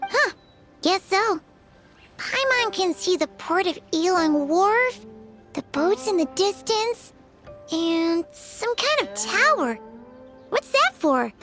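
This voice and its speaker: A tiny floating fairy girl with a very high, squeaky voice that bounces all over the place, eager and excitable. Her tone is bright and sharp, and she chatters about herself in the third person. Soft game music plays behind her. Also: takes it slowly, full character voice. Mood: curious. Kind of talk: video game voice acting.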